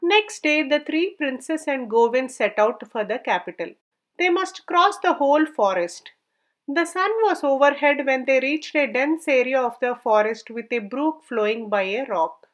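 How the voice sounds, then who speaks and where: A woman with a high-pitched voice reads a folk story aloud. She works as a storyteller, delivering scripted narration. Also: lively intonation, measured pace.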